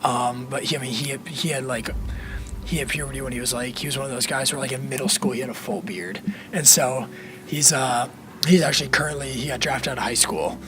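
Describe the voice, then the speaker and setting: A young man from Colorado, a college baseball player, hoarse from a cold, answering interview questions in a rambling, easygoing way with many fillers. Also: mid-range voice, fairly even intonation, fairly quick, crowd noise. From Colorado, USA. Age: young adult.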